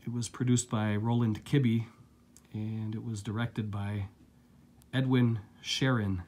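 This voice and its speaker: A man with a lingering cold, casually chatting through a relaxed, rambling unboxing of his new discs, recorded indoors at home. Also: lowish voice, takes it slowly. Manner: relaxed, rambling, filler-heavy. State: getting over a cold, coughing. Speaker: collector vlogger.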